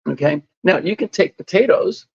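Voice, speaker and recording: A man's voice: high-pitched, expressive, moderate pace, breathy edge, no echo, muffled recording. A man in a casual podcast conversation, explaining whole foods in a patient way and laughing often. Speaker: lifestyle medicine advocate.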